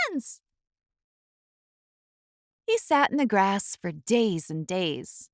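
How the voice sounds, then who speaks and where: Slow, animated woman reading a storybook. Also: fairly high pitch, no room sound, studio quality. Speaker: children's read-aloud host. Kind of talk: expressive storybook narration for children.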